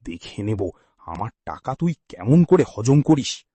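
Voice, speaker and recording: A greedy moneylender in a comic storybook , put on by a male narrator. He bargains and threatens in a hard manner, with lively pitch and a husky tone. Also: unhurried, dry recording, full character voice. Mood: threatening.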